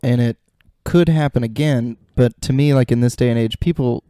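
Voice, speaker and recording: A man's voice: mid-range voice, normal speed, mellow, smooth, no room sound. A man co-hosting a podcast, bantering casually with plenty of slang and swearing. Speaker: podcast co-host.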